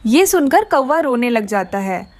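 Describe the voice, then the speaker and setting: Woman, cartoon narrator, expressive storytelling, high voice. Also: a little slow, no room sound.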